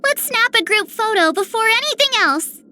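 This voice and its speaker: A woman's voice: very high-pitched, animated, normal speed, bright, forceful tone, a little hiss or hum, heavily acted. A woman voicing an eager, playful young girl heroine in a video game, chatty and exuberant. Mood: cheerful, earnest. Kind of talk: video game character voice lines.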